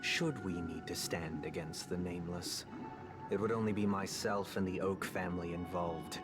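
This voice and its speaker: An actor playing a soft-spoken, zealous game villain who is formal, courteous and self-righteous in a measured, lofty way. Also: male voice, sits low, fairly even intonation, music in the background, natural voice. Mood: courteous, composed. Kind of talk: scripted game voice acting.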